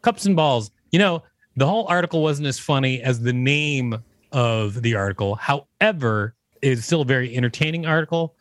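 A man's voice: medium pitch, moderate pace, no echo. A man, co-hosting a podcast, reading an article aloud and chatting casually about it. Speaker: podcast co-host.

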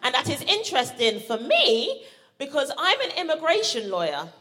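A woman's voice: sits high, huge pitch swings, normal speed, bright, forceful tone. A woman from Barbados with a South London accent, doing a brash, punchy stand-up set at a live comedy show. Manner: brash, punchy, playful with the crowd. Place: live comedy venue with audience. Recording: live show with audience laughter.

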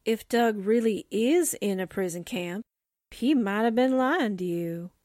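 A woman playing a shrewd, loyal, excitable secretary sidekick, brisk and emphatic in 1940s radio drama style. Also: medium pitch, dry recording, studio quality, natural voice. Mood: knowing.

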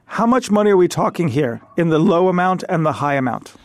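A man's voice: on the high side, lively intonation, brisk. Quizzical male podcast host. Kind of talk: interviewing and signing off.

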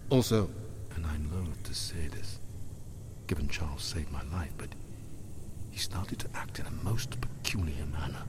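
An actor playing a weary, haunted castaway in an audio drama, halting and formal, sinking into breathy near-whispers. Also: male voice, extremely low pitch, animated, noisy background. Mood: uneasy, hushed. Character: weary, haunted male castaway reporting horrors with stiff restraint. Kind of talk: dramatic first-person audio drama monologue, recorded-message log.

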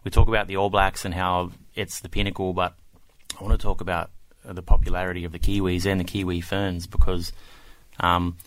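Deep monotone dude hosting a sports podcast, laid back, lots of you know. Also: male voice, brisk, no room sound, rough recording. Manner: easygoing, filler-heavy questions. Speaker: podcast host. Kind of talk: casual podcast interview.

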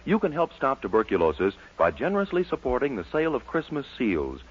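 Old 1940s radio announcer guy, american, polished pitchman, swooping delivery, slightly husky, muffled recording. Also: wildly swooping pitch, a little fast. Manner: polished, emphatic salesmanship.

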